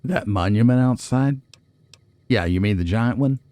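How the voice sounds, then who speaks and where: A man's voice: mid-range voice, a little slow, mellow, no room sound. A man hosting a casual video game playthrough, musing aloud and making wry asides to viewers. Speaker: let's play host. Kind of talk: casual game commentary, reading and reacting aloud.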